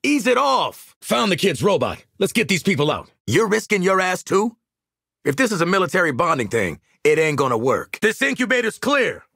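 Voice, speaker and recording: An American actor playing a gruff, sardonic, weary hitman in a video game, blunt and streetwise, with a husky voice. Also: high voice, sing-song, normal speed, pushed and bright, dry recording, studio quality, exaggerated voice. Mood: urgent, combative.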